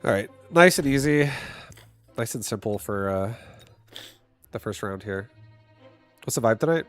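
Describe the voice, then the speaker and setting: A man with a stuffy nose giving casual live commentary while streaming a game, thinking out loud and now and then breaking into excited shouts. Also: on the low side, unhurried, soft background music.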